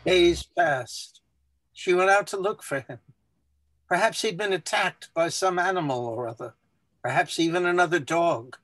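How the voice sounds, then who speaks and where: A man's voice: high-pitched, measured pace, a bit bright, no room sound. A man reading a short story aloud as scripted, storybook-style narration.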